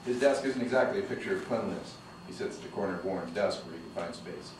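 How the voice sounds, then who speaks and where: A man reading the stage directions at a screenplay table read, in a brisk, matter-of-fact way. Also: low voice. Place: studio room. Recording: very echoey room from a distance, background noise.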